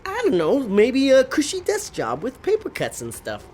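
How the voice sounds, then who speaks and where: A woman's voice: high voice, huge pitch swings, normal speed, darkish tone, a little hiss or hum. A woman playing an eager, naive and slightly dim underling in a comedy audio drama. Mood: dreamy, eager. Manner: hesitant, blurting, naive.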